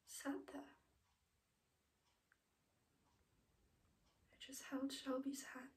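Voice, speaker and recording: Actress as bereaved mother, tender, halting, hushed delivery, higher female voice, echoing room. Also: creaky, distant mic. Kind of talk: dramatic monologue acting. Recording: roomy, echoing room sound.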